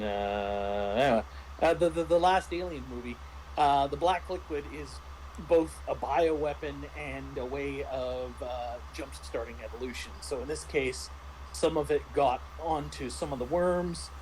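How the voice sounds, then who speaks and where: A man talking casually as a game master discussing a roleplaying game, explaining in a halting, rambling way. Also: medium pitch, slight background noise, poor audio.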